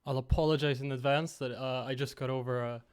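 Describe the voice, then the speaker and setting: Young man, streamer host, slightly raspy, otherwise smooth, relaxed rambling talk, headset mic. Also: mid-range voice, brisk. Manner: relaxed, rambling, talks to chat. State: slightly raspy after being ill. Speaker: livestream host teaching game creation. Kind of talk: casual livestream tutorial.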